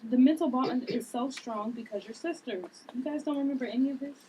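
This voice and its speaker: An insistent, inquisitive friend in a drama script, played by a woman. She has a somewhat high voice, recorded with faint noise in the background. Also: brisk, warm tone, lightly acted, poor audio. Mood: explaining. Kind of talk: table read of a drama script.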